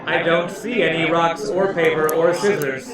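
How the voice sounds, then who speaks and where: A man's voice: a little high, steady tone, moderate pace, natural voice. A man playing a naive, literal-minded young male prototype android, speaking tersely in a live tabletop roleplaying game. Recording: live hall with loud crowd.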